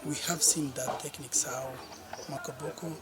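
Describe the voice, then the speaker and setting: A man from Ethiopia with an Ethiopian accent giving a halting testimonial as a cooperative representative, often searching for words. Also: fairly high pitch, fairly even intonation, loud music behind the voice. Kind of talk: impromptu testimonial.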